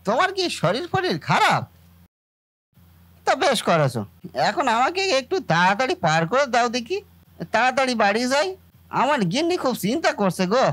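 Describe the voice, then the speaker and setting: A woman plays a hardworking village sweet-seller man in a cartoon. The delivery swings widely in pitch, chatty, good-natured and rustic with muttered asides, recorded dry and close. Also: very animated, moderate pace, pauses often, fairly bright tone, breathy edge, no echo, full character voice. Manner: rustic, colloquial, muttering to self. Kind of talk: cartoon voice acting.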